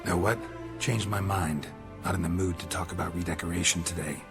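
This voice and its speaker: Fantasy game monster hunter: low register, terse, practical, gruff understatement over music. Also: male voice, steady tone, fairly quick. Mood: matter-of-fact. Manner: terse, clipped, understated phrasing. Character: laconic, gruff male monster-hunter, practical estate owner. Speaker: voice actor. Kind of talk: video game cutscene acting. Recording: music clearly behind the voice.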